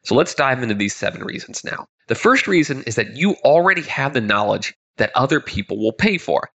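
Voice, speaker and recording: A man's voice: mid-range voice, sing-song, a little fast, vocal fry, a bit bright. A man in his thirties hosting a podcast, talking upbeat and conversational, his voice a bit congested, nasal and crackly from being ill. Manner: upbeat, conversational, with frequent word repeats. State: congested, slightly nasal from illness. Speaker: podcast host. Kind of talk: solo podcast monologue.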